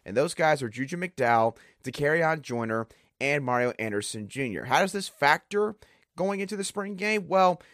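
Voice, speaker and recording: A hoarse man hosting a solo sports podcast, breaking down players in an emphatic, conversational way. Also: fairly high pitch, a bit bright, dry recording, studio quality. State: hoarse, under the weather. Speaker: podcast host.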